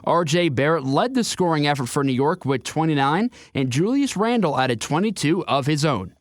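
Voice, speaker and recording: An American man with a fairly high voice reads a scripted sports update. The sound is dry and close, like a radio studio, and the delivery is headline-driven. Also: lively intonation, moderate pace, no room sound.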